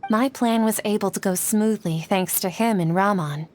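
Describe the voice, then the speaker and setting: A woman playing a modest, earnest young scholar in a video game, speaking in a measured, formal way. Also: medium pitch, background noise, lightly acted. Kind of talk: video game dialogue acting. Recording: game music behind voice.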